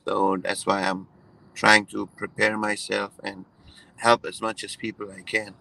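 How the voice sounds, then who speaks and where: A man from Iran, raised in Germany, talking in a relaxed conversation with many hesitant fillers and restarts. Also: on the low side, measured pace, creaky, poor audio. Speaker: guest. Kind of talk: casual conversation.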